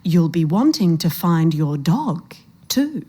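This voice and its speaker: Woman voicing soft-spoken, uncanny old grandmother. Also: fairly low pitch, big pitch swings, slow, breathy edge, exaggerated voice. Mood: soft menace. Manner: soft-spoken, pleasant, knowing. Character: uncanny old bush grandmother, softly menacing, unhurried.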